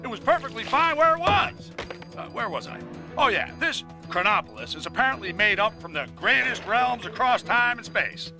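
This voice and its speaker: An actor playing a cranky, blustering middle-aged newspaper boss ranting a bulletin in a cartoon game, brash and indignant. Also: male voice, very high-pitched, sing-song, moderate pace, pushed and bright, soft background music, full character voice. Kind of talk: cartoon game rant delivered as news bulletin.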